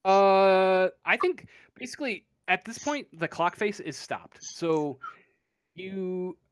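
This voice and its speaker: Slow, halting game master, clean voice. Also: mid-range voice, clear, resonant tone, no echo, studio quality. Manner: halting, pausing often to think. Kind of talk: improvised narration of a tabletop roleplaying game.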